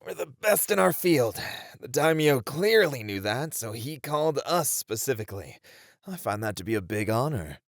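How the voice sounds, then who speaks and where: A man's voice: mid-range voice, sing-song, moderate pace, gravelly, no echo, studio quality. A man playing a cocky, flirtatious captured master ninja with a flippant, sighing delivery in a scripted ASMR audio roleplay. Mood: confident.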